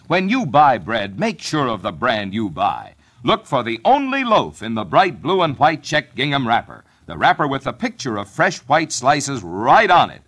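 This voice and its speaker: American guy doing old timey radio ad, folksy salesman, super lively intonation. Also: medium pitch, moderate pace, few pauses. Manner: folksy, persuasive sales patter. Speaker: commercial announcer. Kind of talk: vintage radio bread advertisement.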